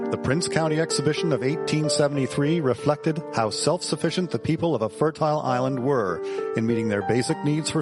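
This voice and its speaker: A man reading scripted historical documentary narration as the narrator, over music. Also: mid-range voice. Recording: loud music bed under voice.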